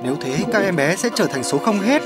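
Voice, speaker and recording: A man's voice: high voice, big pitch swings, a little fast, over loud music. A man voicing an elderly, grandfatherly keeper who sounds grave and protective in a dubbed children's cartoon. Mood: alarmed, grave.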